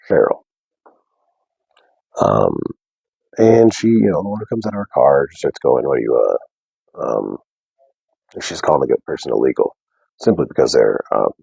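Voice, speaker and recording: A young man with a deep bass voice, talking softly and casually to viewers on a live stream. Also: vocal fry, slightly rough, dry recording, lo-fi sound. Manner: soft, unprojected speech. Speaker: livestream host. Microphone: wearing a mic.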